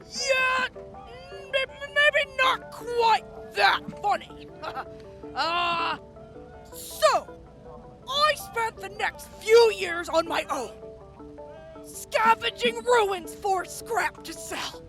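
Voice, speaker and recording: A female wasteland major played by a woman answers an interview in a radio drama. The voice is extremely high and bright, with slow, measured, matter-of-fact storytelling. Faint music plays underneath. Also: exaggerated voice, low-quality recording. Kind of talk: audio drama acting, interview.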